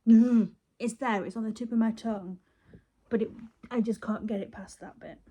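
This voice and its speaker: A woman's voice: a little high, fairly even intonation, normal speed, warm tone. A British woman vlogging casually on her phone, rambling playfully and half talking to herself as she goes. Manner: chatty, playful, talks and mutters to self. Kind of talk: casual rambling chat to camera while wrapping gifts.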